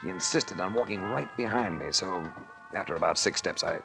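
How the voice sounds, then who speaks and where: An actor playing a cool, wry tough-guy investigator in a 1940s radio drama, with a gravelly voice and a faintly noisy vintage recording. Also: low voice, brisk, music in the background. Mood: urgent. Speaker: lead actor and narrator.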